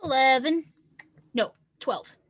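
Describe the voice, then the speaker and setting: A woman's voice: sits high, slow, fairly bright tone, no echo. A woman reading the part of an upbeat, encouraging teenage snowboarder in a screenplay table read. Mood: smitten.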